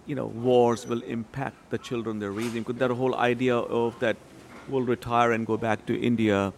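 A man's voice: medium pitch, level tone, moderate pace, slight background noise. A middle-aged man from Pakistan, a writer and translator giving discursive interview answers with many fillers. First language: Urdu or Punjabi.

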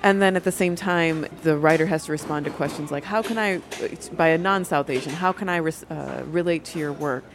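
A woman's voice: low-pitched, fairly quick, few pauses. A woman hosting a show, interviewing a writer and framing long, articulate questions. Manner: articulate, lays out questions at length.

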